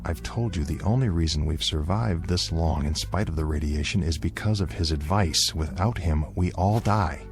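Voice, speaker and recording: An actor playing a calm, stubborn settlement leader in a radio drama, speaking in a measured, grave, unshaken way. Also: male voice, extremely low pitch, music in the background. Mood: pleading, firm.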